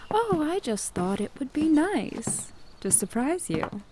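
A woman's voice: high voice, sing-song, a crowd in the background, exaggerated voice. A woman playing a coy, possessive, eerily loving witch wife in a retro radio drama. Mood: coy, probing. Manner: slow, coy, deliberate. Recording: clearly noisy track, insect-like chirps.

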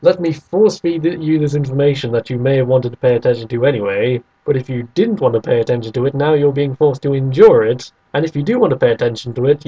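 A man's voice: mid-range voice, warm tone, highs cut off. A man co-hosting a podcast, casually arguing about video games and restating his points over an internet call. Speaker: podcast co-host.

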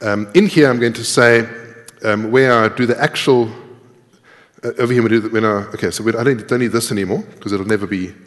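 A man's voice: lowish voice, a little fast. A middle-aged man giving an informal live coding talk, thinking aloud as he types and poking fun at himself. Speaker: conference speaker, programmer. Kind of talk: live coding demo talk, explaining while typing. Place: large tiered lecture hall, indoors. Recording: reverberant lecture hall.

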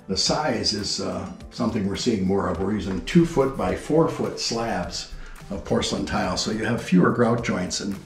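A man's voice: lowish voice, animated, moderate pace. A man working as a home builder explains a house on a tour in a matter-of-fact, informative way. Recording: roomy indoor echo with music behind. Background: background music.

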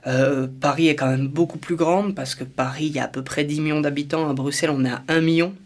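Lightly pitched young man from Belgium. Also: fairly even intonation, fast. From Brussels, Belgium. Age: 20s. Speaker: actor presenting himself. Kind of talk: informal talk to camera about himself. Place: indoor studio.

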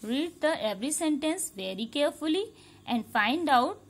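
A woman's voice: sits high, expressive. A woman teacher with an Indian English accent, explaining a grammar lesson to young pupils and repeating each sentence patiently. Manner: slow, repetitive, patient explaining, checking understanding. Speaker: schoolteacher. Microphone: phone camera held over a workbook.

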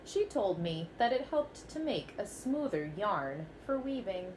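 A woman's voice: mid-range voice, very animated, measured pace, background noise. A young woman teacher reading a children's picture book aloud in a small bedroom, telling it expressively in the first person.

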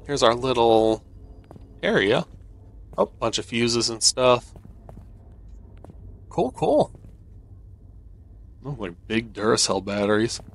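An American man giving casual let's-play commentary, easygoing and thinking out loud. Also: mid-range voice, lively intonation, slow pace, long pauses. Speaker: gaming video host.

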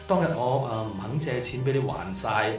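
A man with a Hong Kong Cantonese accent playing a stingy, sharp-tongued middle-aged boss in a radio drama. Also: medium pitch, lively intonation, normal speed, lightly acted. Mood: terrified. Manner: brusque, dismissive, stammers when frightened. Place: small padded radio studio. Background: music.

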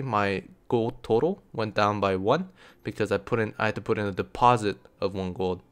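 A man's voice: fairly low pitch, fairly bright tone, smooth. A young man giving a casual tutorial as a game guide, explaining things plainly. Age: young adult. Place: indoor room, seated at computer.

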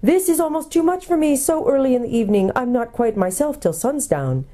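Big fussy owl for a storybook, soft n hooty, high and swoopy, repeats stuff. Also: female voice, animated. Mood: sad, musing. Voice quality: soft, hooting. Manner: repeats itself in drawn-out owl-like hoots.

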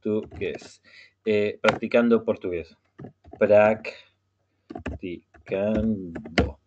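A man from Uruguay with a Uruguayan Spanish accent, leading an online Spanish conversation class, speaking slowly and patiently and repeating words for learners. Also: fairly low pitch, background noise. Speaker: language class facilitator. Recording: muffled web meeting audio.

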